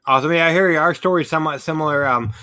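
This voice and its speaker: A man's voice: on the high side, rapid-fire, pushed and bright. A man hosting a podcast, chatting casually and conversationally with his guests. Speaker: podcast host. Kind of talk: casual interview chat.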